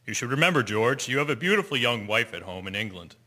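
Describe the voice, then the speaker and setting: Radio drama: seasoned male sailor played by an actor, admonishing, mid-pitched, hard bright tone, echoing. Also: sing-song, fast. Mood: wry, chiding. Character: worldly sailor, George's steady, admonishing shipmate.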